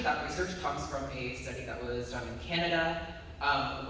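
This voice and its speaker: A man's voice: mid-range voice, sharp tone, a little hiss or hum. A man presenting and taking audience questions in a loose, hedging way, with many restarts. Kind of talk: casual Q&A talk. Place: lecture room with audience. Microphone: phone camera at a distance. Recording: echoey hall, phone from far off.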